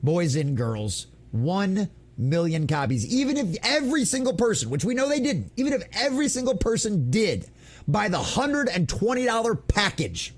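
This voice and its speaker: A man's voice: sits high, slightly rough. A man hosting an online gaming news show, giving opinionated commentary in an emphatic, theatrical, mocking way.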